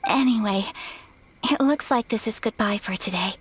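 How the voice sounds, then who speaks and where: A woman voicing a graceful young noblewoman, soft-spoken, polite and earnest. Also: mid-range voice, normal speed, a little hiss or hum, natural voice. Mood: shy.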